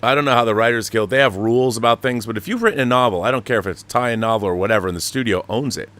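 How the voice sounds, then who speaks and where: A man's voice: mid-range voice, racing, fairly bright tone. A man hosting a live talk show, giving emphatic, opinionated commentary with rhetorical questions.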